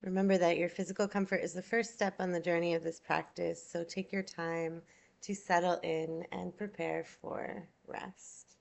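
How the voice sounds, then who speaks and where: A woman yoga nidra instructor leading a guided meditation in a soothing, unhurried, gentle manner, leaving long pauses. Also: lowish voice, flat delivery, vocal fry.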